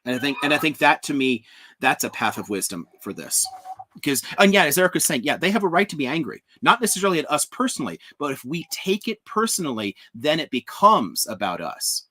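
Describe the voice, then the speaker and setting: A man's voice: on the high side, big pitch swings, brisk, bright, forceful tone. A man hosting a live stream discussion, giving thoughtful advice with frequent restarts. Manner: thoughtful, restarts often, advising. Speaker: host.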